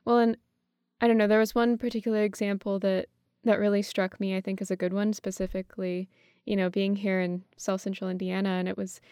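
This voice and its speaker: A woman's voice: medium pitch, flat delivery, a little fast, warm tone, clean tone, dry recording, studio quality. An American woman co-hosting a thoughtful conversational discussion, somewhat tentative as she feels her way to the right phrasing. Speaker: podcast co-host.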